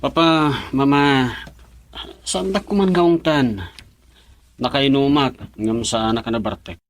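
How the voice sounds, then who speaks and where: A man's voice: mid-range voice, slow pace. Radio drama: young husband, earnest and heartsick, middle-range male voice. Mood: upset. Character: earnest, troubled young husband missing his wife.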